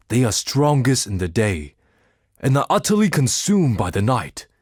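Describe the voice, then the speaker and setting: A man playing a cryptic desert seer in a video game, solemn and faintly mocking, speaking in measured, portentous, ornate phrases. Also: on the low side, breathy edge, no room sound, studio quality, full character voice. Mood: mocking, probing. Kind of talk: theatrical video game character dialogue.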